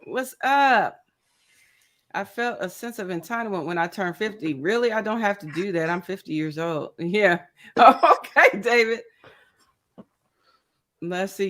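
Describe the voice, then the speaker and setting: Woman in her fifties, livestream host, unhurried speech, slightly high voice, chatty, laughs a lot. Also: expressive, pushed and bright. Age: 50s. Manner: chatty, greets viewers by name, laughs often. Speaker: crochet channel host. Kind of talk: casual livestream chat.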